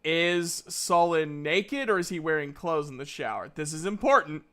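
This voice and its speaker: Man, bright forceful voice, slightly high, jokey casual game-night narration. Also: animated, moderate pace. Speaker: tabletop RPG player. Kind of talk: casual improvised roleplay narration.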